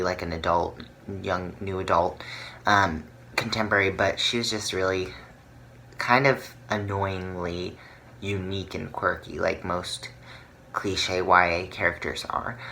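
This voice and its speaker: Male book vlogger, low-pitched voice, informal and chatty, correcting himself as he goes. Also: sharp tone, slight background noise. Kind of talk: casual reading vlog, giving opinions.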